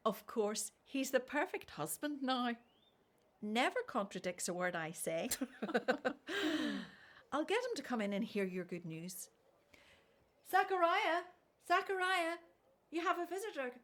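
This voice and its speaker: An older expectant mother in a radio play, with a high voice. She is warm and welcoming, full of joyful exclamation, and gently teases those she loves. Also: big pitch swings, unhurried, long pauses, full character voice. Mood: amused, excited. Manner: affectionate, exclamatory, gently teasing.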